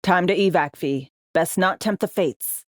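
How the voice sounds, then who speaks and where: A woman's voice: lowish voice, a little slow, dry recording, clean studio sound, natural voice. A woman playing a brash, foul-mouthed, world-weary female spy in a video game, talking in clipped, slangy, sardonic lines. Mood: brisk, businesslike. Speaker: undercover field agent in a sci-fi game. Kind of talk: video game character dialogue.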